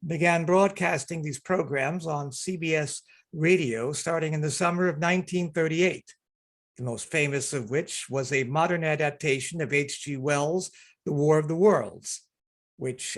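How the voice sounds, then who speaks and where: A man acting as director and announcer, introducing and narrating a radio play reading over a video call. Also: fairly high pitch. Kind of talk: spoken introduction and scripted narration. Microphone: video call microphone.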